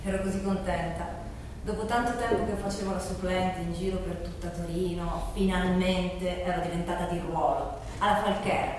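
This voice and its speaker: A woman's voice: medium pitch, recorded from a distance, close to an everyday voice. A woman playing an earnest young left-wing woman in a dramatic monologue, with a declarative delivery. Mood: wistful. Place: large reverberant room, distant mic. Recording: echoey hall from afar, noisy.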